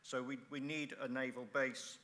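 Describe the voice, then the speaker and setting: A man's voice: mid-range voice, level tone, pushed and bright, slight background noise. Hesitant man speaking in a reverberant hall. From England. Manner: halting, many uhs and restarts. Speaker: first-time conference speaker, ex-air force. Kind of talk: conference floor speech. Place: large conference hall.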